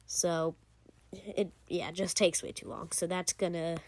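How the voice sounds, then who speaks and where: A woman's voice: on the low side, level tone, unhurried, a little mellow, dry recording. Young woman rambling casually over gameplay. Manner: rambling, many fillers and restarts. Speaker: gaming channel host. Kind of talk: casual video game commentary.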